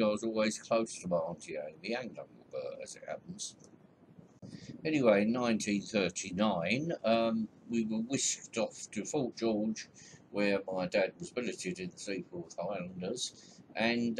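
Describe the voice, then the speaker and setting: A man around eighty narrating his own life story in a chatty, rambling way, with many ums and soft chuckles. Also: lowish voice, slight background noise, low-quality recording. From England. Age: about 80. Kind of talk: reminiscing voice-over narration.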